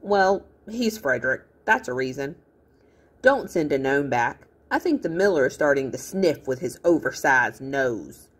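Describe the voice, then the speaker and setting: Storybook girl, played by a woman: feisty, blunt, grumpy, husky, with expressive pitch. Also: measured pace, heavily acted. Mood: irritated, wary.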